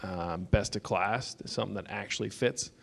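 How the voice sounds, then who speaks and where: A man's voice: on the low side, normal speed, vocal fry, a bit bright. A man speaking on a conference panel, explaining technical points in a matter-of-fact way. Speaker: security consultant on a panel. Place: conference stage.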